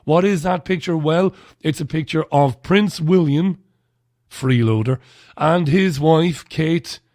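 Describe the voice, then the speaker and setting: A man's voice: on the high side, vocal fry, a little mellow, dry recording, clean studio sound. A man in his early forties hosting a live radio show, chatty and blunt, sounding a little throaty today. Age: 40s. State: slightly throaty. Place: radio studio.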